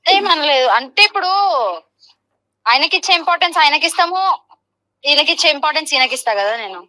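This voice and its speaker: A woman's voice: sits high, animated, brisk, pushed and bright, low-quality recording. A young woman chatting casually as a guest in a phone interview.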